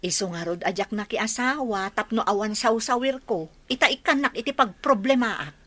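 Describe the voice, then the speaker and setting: A woman's voice: a little high, lively intonation, moderate pace, a bit bright. A woman playing a grumbling but caring, no-nonsense village aunt in a radio drama, blunt and scolding.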